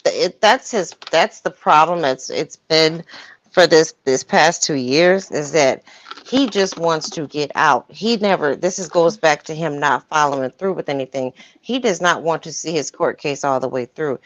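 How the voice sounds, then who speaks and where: A woman's voice: deep voice, measured pace. A woman chatting casually as a panelist on a livestream. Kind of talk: casual chat. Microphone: video-call livestream.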